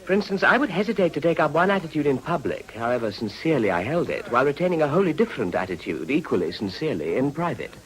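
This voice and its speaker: A man playing a witty, idle gentleman politician in a period radio drama, urbane and dry, with an upper-class English accent. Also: a little high, big pitch swings, quick pace, few pauses, poor audio. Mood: dry, sardonic. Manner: urbane, dry, teasing, articulate.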